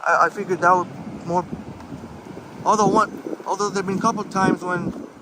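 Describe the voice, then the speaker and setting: A man's voice: high voice, flat delivery, brisk. A man hosting a walking livestream, rambling casually and reading out viewer comments from the chat. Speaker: livestream host. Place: outdoors on city streets near a lake. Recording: wind noise on the mic outdoors.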